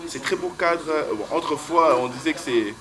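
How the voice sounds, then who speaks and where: A man hosts a casual talk show from an open-air straw-hut restaurant. He talks in a hesitant, roundabout way, full of fillers and restarts. A crowd can be heard clearly behind him. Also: fairly high pitch, lively intonation, normal speed. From Congo. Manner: hesitant, many fillers and restarts, chuckles often. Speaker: host.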